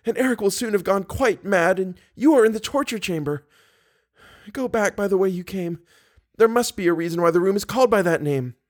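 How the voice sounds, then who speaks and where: A man's voice: high voice, a little mellow, voice pushed up. A man voicing a frightened captive young woman in an audiobook, breathless, urgent and pleading. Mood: desperate, urgent.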